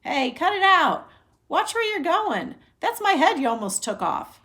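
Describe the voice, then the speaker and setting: An indignant passer-by acted out by a woman reading a storybook scolds careless kids. The voice jumps high and loud, with a sharp, barking delivery. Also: very animated, unhurried, exaggerated voice. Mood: indignant, angry.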